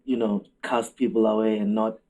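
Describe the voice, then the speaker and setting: A man's voice: fairly low pitch, level tone, moderate pace, darkish tone. A man with a South African accent answering questions as a guest musician in a conversational interview. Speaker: interview guest, recording artist.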